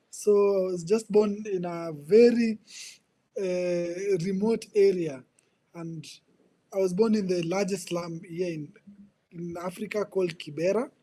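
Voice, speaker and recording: Kenyan guy in his 20s, earnest, repeats himself a lot, kinda high voice. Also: dark tone. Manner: earnest, repeats words while searching for them. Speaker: guest, ministry coach. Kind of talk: personal testimony in conversation.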